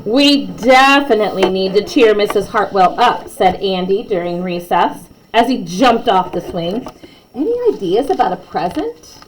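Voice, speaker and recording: A woman's voice: mid-range voice, expressive, moderate pace, slight background noise, rough recording. A woman reading a children's bedtime story aloud expressively, with chatty asides to young listeners.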